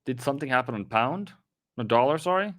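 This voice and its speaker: Chatty Norwegian man with a bright voice. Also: medium pitch, animated, a little fast, dry recording, clean studio sound. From Norway. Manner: chatty, rambling, self-deprecating, talks to chat. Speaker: forex trading streamer. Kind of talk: live-stream trading commentary.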